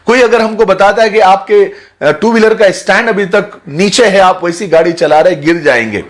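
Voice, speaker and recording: A man giving an earnest, sermon-like commentary to his audience in a measured, emphatic manner. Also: sits high. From India. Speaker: social commentator speaking to his video audience. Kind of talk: earnest explanatory talk, sermon-like.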